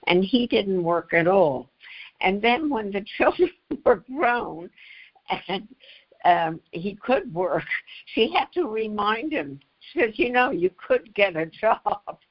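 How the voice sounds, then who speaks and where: Older woman, interview guest, very slow pace, frequent halting pauses, slightly muffled recording. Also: medium pitch, expressive. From United States. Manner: halting, pausing mid-phrase. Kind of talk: conversational interview recollection. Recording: slightly dull, lo-fi audio.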